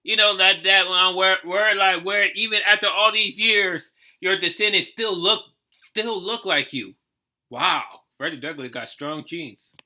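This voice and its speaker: Guy doing a reaction video, really sharp high voice, keeps restarting his thoughts as he talks it out. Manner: halting, repetitive phrasing, thinking aloud. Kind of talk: casual off-the-cuff reaction commentary.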